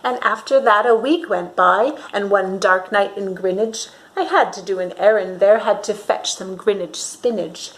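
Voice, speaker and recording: A woman reading a storybook aloud to young children in an expressive, dramatic way, pausing to ask her listeners questions. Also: medium pitch, rough recording.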